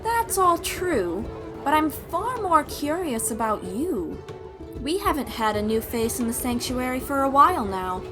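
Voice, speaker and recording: A woman voicing a hearty, eager female sea captain with an exuberant, projecting delivery in a fantasy audio roleplay. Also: high-pitched. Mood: curious, eager. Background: music.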